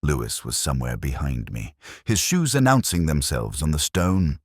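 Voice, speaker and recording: A man reading scripted first-person narration for a detective mystery in a measured, literary, reflective manner. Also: very deep voice, big pitch swings, breathy edge, dry recording, studio quality.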